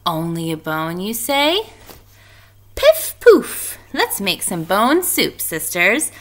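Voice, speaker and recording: A woman's voice: mid-range voice, huge pitch swings, slow. A woman voicing a gleeful, scheming witch in an emphatic singsong for a storybook. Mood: gleeful, coaxing. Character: gleeful scheming hungry female witch, cackling trickster.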